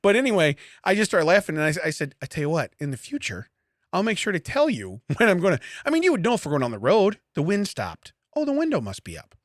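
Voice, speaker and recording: A man's voice: sits high, wildly swooping pitch, fast talker, dry recording, studio quality. A man telling funny everyday stories in casual banter, mock-indignant and acting out what people said. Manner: jokey, mock-indignant, acts out quotes. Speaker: podcast co-host. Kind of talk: casual comic storytelling and banter.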